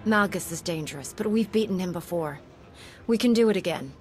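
An actress playing a confident, heroic commander in a video game, composed and earnest. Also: female voice, lowish voice, animated, a little fast, soft background music, natural voice. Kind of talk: scripted video game acting.

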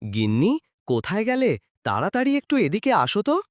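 A woman voicing a gentle, indulgent father and husband in a cartoon, speaking in a coaxing manner. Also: lowish voice, huge pitch swings, brisk. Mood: surprised. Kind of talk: cartoon dialogue acting.

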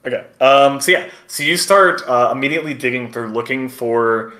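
A man's voice: medium pitch, moderate pace, sharp tone. A man narrating a tabletop game as game master, improvising descriptions in hesitant, casual wording.

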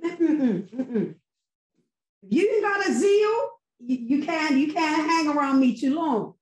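A middle-aged woman speaks in a high voice, slowly and deliberately, with preacherly fervor. She presses her points with emphatic rhetorical questions. Her webcam audio on the video call has a roomy echo. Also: expressive, slight background noise. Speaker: church member giving sermon response. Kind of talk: impassioned post-sermon testimony. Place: home room with virtual background. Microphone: laptop webcam on video call.

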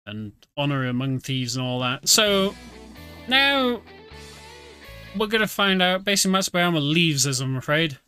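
Guy streaming a game, chatty, kinda high voice, game music going behind him. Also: very animated, moderate pace, bright, forceful tone. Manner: chatty, explains the story as he plays. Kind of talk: casual gameplay commentary.

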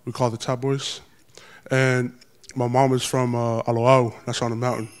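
A man's voice: medium pitch, flat delivery, normal speed, slight background noise. A teenage young man from American Samoa introducing himself informally as a student. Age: teens, high school senior. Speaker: student speaker.

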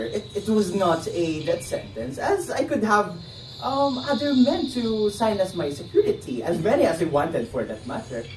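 A man's voice: high voice, darkish tone. A young man acting in a stage play as a nervous, defensive ex-convict, with a hesitant, theatrical delivery. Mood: anguished. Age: young adult. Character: nervous, defensive ex-convict pleading his innocence. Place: indoor theatre set, far camera. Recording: echoey stage, distant mic, faint noise.

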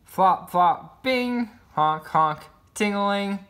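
A man's voice: high voice, slow, a bit bright. A man reading a children's picture book aloud, voicing the sound words expressively. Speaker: storybook reader.

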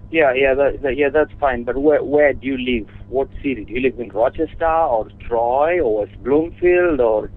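A man handling a business call over the telephone, polite but halting and often repeating himself. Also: medium pitch. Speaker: music lesson company owner. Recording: muffled, narrow telephone line.

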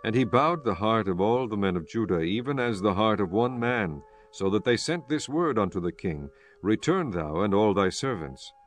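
A man's voice: on the low side, expressive, moderate pace, few pauses. A man reading scripted narration from a dramatized scripture recording.

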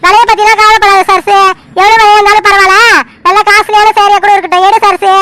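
A woman's voice: very high voice, little pitch movement, fast talker, fairly bright tone. A woman voicing a proud, blunt elderly mother-in-law who talks in a dismissive, scolding way in a family skit. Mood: coaxing.

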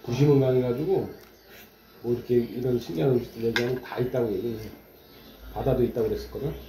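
A man with a mid-range voice talks over a meal and explains things, repeating words while he hunts for the right one. The room is echoey, with cutlery and dishes clattering loudly behind him. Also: mid-range voice, steady tone, quick pace, background noise. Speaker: friend at the table. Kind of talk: casual chat over a meal. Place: restaurant.

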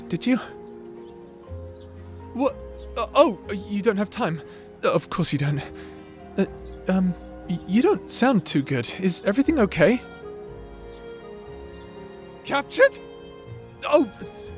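A man's voice: high-pitched, exaggerated voice. A man playing a timid, lovesick young adventurer in an audio drama, stammering, hesitant and apologetic. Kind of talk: audio roleplay drama acting.

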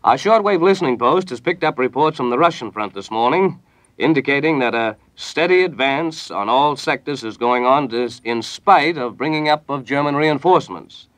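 An American man delivers news in 1940s radio style. His reading is formal and measured, at a medium pitch. The studio sound is dry, with no room around the voice. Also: lively intonation, fairly bright tone, dry recording.

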